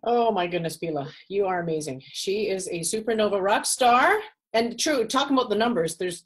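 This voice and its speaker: A woman hosting a conference call, introducing speakers with lively praise. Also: on the low side. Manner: enthusiastic, praising. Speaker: call host.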